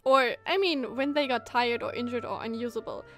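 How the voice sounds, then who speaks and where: A woman's voice: a little high, fairly quick, faint music behind. A woman streamer giving casual commentary on a game, reacting expressively with exclamations and musing questions.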